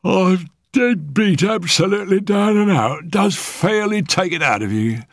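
Man as a high-pitched, chatty woman medium. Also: expressive, unhurried, gravelly, dry recording, studio quality, exaggerated voice. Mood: weary. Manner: chatty, dramatic, informal. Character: ample, tired, uneasy woman spirit medium.

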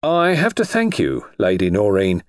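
A man voicing an earnest young man who plays the gallant gentleman rogue in an audiobook, with a mannered delivery. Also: lowish voice, huge pitch swings, moderate pace, no room sound. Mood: ceremonious, gallant. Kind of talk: audiobook character voice.

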